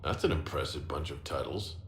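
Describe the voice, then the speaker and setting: Easygoing fantasy hero guy, wry, pitch moves around a lot, echoey game cutscene. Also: lively intonation, a little fast. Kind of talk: video game cutscene acting. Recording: roomy echo, noisy ambience.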